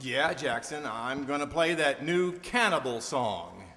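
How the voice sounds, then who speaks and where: A man's voice: medium pitch, lively intonation, unhurried, bright, forceful tone. A man playing a breezy bandleader in a stage radio comedy. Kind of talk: old-time radio comedy acting on stage. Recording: echoey hall stage recording.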